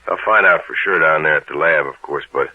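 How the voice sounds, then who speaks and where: An American man playing a gruff, plain-spoken police sergeant in an old-time radio drama, talking in a casual, folksy, matter-of-fact way. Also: a bass voice, a little fast, no room sound. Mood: explaining. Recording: narrow, tinny old radio recording.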